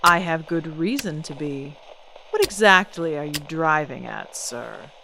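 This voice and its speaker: A woman's voice: sits low, lively intonation, measured pace, gravelly, background noise, full character voice. A woman playing a poised, defiant celebrity singer in an old-time radio drama, speaking with composed, pointed, genteel diction. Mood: guarded, curious.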